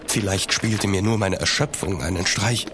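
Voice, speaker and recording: An actor playing a gentleman traveller who narrates his journey in measured, literary audio drama style. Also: low-pitched, noisy background. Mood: grave, reflective. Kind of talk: scripted first-person audio drama narration.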